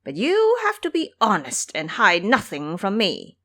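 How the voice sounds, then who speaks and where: A woman's voice: medium pitch, wildly swooping pitch, a little slow, bright, forceful tone, full character voice. A woman voicing a cocky, hot-tempered monkey king in an audio drama, imperious, taunting and scolding. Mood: stern.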